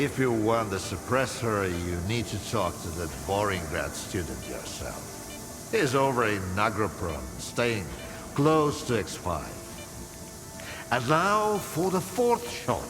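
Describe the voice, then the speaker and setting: An eccentric, boozy old-hand scientist for a video game. His delivery is slow and drawling, with pitch that swings dramatically as he rambles in sardonic philosophy. Music plays clearly behind him. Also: exaggerated voice. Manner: drawling, rambling, musing, with frequent hums. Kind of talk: theatrical video game dialogue.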